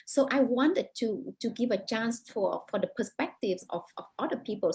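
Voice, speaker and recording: A woman with a Vietnamese accent, a native Vietnamese speaker, answering interview questions as an author over a video call, thoughtful with many pauses and restarts. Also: medium pitch, darkish tone, slightly rough. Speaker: author, guest.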